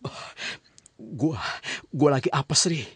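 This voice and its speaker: A man's voice: on the high side, takes it slowly, a bit bright, breathy edge, dry recording, heavily acted. An actor playing a wounded young male car thief who talks in coarse slang, pained and weakening. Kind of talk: 1990s radio drama acting.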